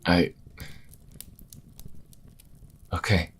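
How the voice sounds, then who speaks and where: A man's voice: moderate pace, natural voice. A man acting an anxious, guilt-ridden young priest in a fantasy audio drama, speaking hesitantly with stammers and an agonized manner. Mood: hesitant, subdued. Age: young adult.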